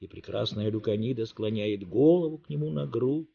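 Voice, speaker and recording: A man's voice: on the low side, normal speed, vocal fry, slightly rough, highs cut off. A man narrating a story in a dramatic, theatrical, declamatory way.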